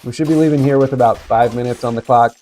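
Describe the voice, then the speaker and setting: A man with a raspy voice from being sick, giving low-key commentary on his gameplay. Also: fast talker, soft background music. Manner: relaxed, low-key play-by-play with occasional coughs. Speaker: gaming video host. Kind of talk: casual game commentary.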